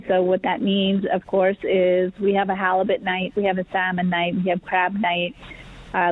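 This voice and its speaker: A woman guest on a radio call-in show, describing her fishing lodge with eager, halting speech full of fillers, her voice slightly worn. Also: medium pitch. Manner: enthusiastic, halting with many fillers. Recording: tinny phone line.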